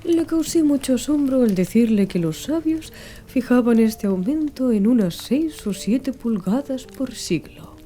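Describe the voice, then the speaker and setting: A woman narrating a literary audiobook in a measured, expressive storytelling manner. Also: fairly high pitch. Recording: music behind the voice. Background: background music.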